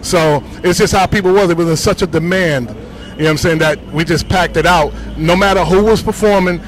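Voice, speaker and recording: A man with a Bronx New York accent, a DJ talking casually in slang on a city street, full of shout-outs and "you know what I'm saying". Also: high-pitched, fairly quick, low-quality recording. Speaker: DJ interviewed on the street.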